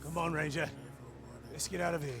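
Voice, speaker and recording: A man playing a wry, grumbling orc sidekick in a video game, with a gravelly voice. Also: a little high, little pitch movement, brisk, natural voice. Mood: uneasy, disgusted. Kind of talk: video game cutscene acting.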